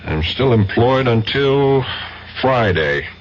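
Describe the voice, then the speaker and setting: An actor playing a dogged, hard-boiled American investigator in a 1970s radio drama, dry and sardonic. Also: male voice, mid-range voice, animated, takes it slowly. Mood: bitter, dry. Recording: muffled, hissy 1970s radio recording.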